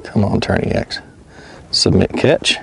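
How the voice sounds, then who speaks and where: A middle-aged man vlogging from a kayak on an open lake, explaining each step aloud and talking to the fish he handles. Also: measured pace. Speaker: fishing vlogger. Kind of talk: casual narration while working. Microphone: mounted action camera. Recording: outdoor wind and water noise.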